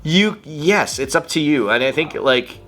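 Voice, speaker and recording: A man with a Filipino Canadian accent, a voice actor answering interview questions in an animated, chatty way with lots of fillers. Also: a little high, faint music behind. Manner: animated, chatty, quick laughs, many fillers. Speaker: voice actor guest.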